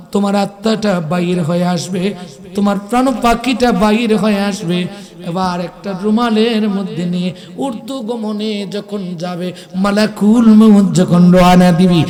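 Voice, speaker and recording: High-pitched man preaching emphatically. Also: a little mellow. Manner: emphatic, slow, breaks into melodic chant and drawn-out cries. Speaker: Islamic preacher. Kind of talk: impassioned waz sermon, dramatized storytelling with chanting.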